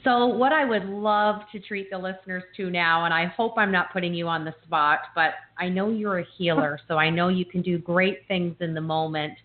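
A woman in her fifties hosting an interview, speaking enthusiastically and warmly complimenting her guest, often repeating her first words. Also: on the low side, steady tone, moderate pace, few pauses. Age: 50s. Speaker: podcast host. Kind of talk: interview talk. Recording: phone-like remote line.

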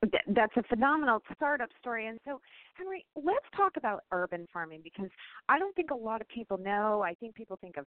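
A woman's voice: a little high, very animated, brisk. A woman hosting a radio show, upbeat and enthusiastic as she introduces and questions her guest. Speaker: podcast host. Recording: tinny phone-like line.

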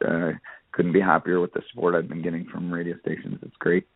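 Male interview guest, low register, halting casual delivery, narrow echoey phone audio. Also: completely flat delivery, husky. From Nova Scotia, Canada. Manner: halting, peppered with "you know". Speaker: interview guest, country singer. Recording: narrow phone line, roomy echo.

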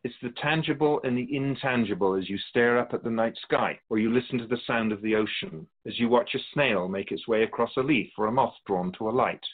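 A man's voice: medium pitch, lightly acted. A man voicing a serene otherworldly keeper of souls. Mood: calm, wise. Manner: measured, serene, philosophical, gently lecturing. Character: otherworldly male soul-realm keeper, calm and wise. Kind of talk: radio drama acting. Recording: tinny phone-like sound.

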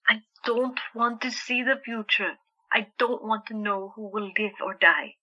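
A woman's voice: on the high side, steady tone, a little slow, pushed and bright, muffled recording. A woman playing a stubborn young sister plagued by visions in an audio drama, speaking earnestly and insistently. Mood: desperate.

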